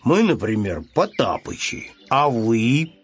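A man's voice: medium pitch, sing-song, slow pace, husky, highs cut off, full character voice. A man voicing a pompous, stubborn little bear cub in a storybook, speaking in a solemn, dry, self-important official manner. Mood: stern.

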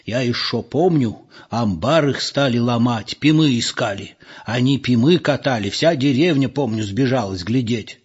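A man's voice: mid-range voice, normal speed, husky, lightly acted. A man voicing a chatty, argumentative old villager in an audiobook, contradicting and reminiscing in folksy colloquial speech. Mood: disputing, reminiscing. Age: older. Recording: muffled, lo-fi recording.

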